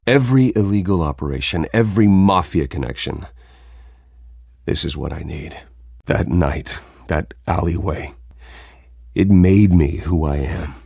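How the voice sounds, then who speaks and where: An actor playing a brooding, guarded vigilante in a video game, terse and grave. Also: male voice, deep voice, expressive, a little slow, creaky, darkish tone, slightly rough, dry recording, studio quality, heavily acted. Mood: grim, determined. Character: brooding, guarded wealthy vigilante man in his prime.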